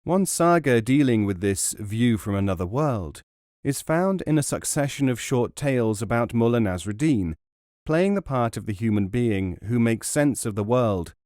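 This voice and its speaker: A man reading an essay as a scripted audiobook narration, in a measured, formal way. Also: fairly low pitch, lively intonation, a little mellow, dry recording, studio quality.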